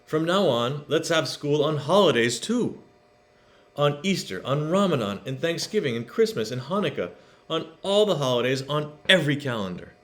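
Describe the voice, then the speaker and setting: Proud storybook school principal, played by a male reader, enthusiastic, drawn-out proclamations, very animated pitch. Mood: proudly announcing.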